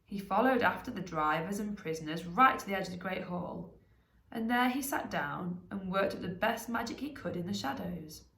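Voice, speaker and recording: A woman reads a story aloud with expression. Her voice sits at a medium pitch with noticeable creak. The recording has a clear room echo. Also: animated, normal speed, fairly bright tone. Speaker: staff member reading a story aloud.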